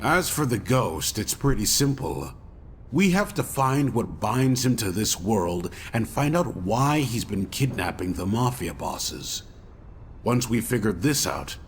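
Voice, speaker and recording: A serious, resolute investigator in a mystery game. His voice is low with very animated intonation as he briefs a detective. Faint noise sits behind him. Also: a little slow. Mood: serious, explanatory. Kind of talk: scripted game cutscene dialogue.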